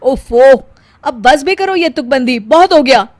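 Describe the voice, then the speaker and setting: A woman voicing a young husband in a story, impatient and complaining in a loud, emphatic way. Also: high-pitched, animated, measured pace, dry recording.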